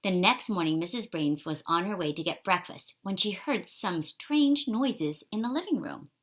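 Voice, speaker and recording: A woman reading a children's picture book aloud in an expressive, animated way for young listeners. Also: fairly low pitch, normal speed. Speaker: storybook reader.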